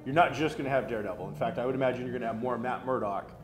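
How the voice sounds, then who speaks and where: An American man in his thirties hosting a casual geek culture chat, talking in an animated, chatty way. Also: mid-range voice, very fast. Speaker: talk show host. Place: roomy indoor restaurant at a table. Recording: roomy echo, faint background noise.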